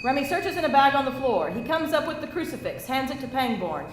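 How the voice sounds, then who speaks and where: A woman's voice: sits high, sing-song, a little fast. A woman narrating stage directions from a script at a live table read. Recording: echoey room with faint hiss.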